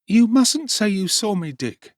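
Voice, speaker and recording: A man's voice: high-pitched, very animated, unhurried, no room sound, clean studio sound, pitched above the performer's own voice. A male actor voicing a timid but determined young orphan boy who speaks urgently and earnestly in an audiobook.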